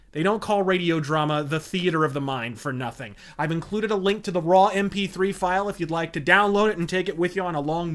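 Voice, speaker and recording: A man's voice: a little high, fairly bright tone. A man hosting with scripted narration, emphatic and formally wordy in his delivery. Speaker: show host and presenter.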